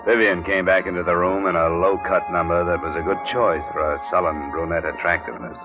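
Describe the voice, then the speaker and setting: Actor as a low-voiced, wry radio private eye. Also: male voice, level tone, brisk, lightly acted. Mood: dry narration. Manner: clipped, sardonic. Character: tough, wry private investigator narrating his case. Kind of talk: 1950s radio detective drama acting. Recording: dull old radio recording with music.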